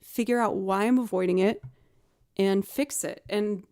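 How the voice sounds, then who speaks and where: A woman with a low alto voice, chatting casually and upbeat between songs on her live music stream. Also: a little slow, dark tone, long reverb tail. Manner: chatty and upbeat, thanking viewers.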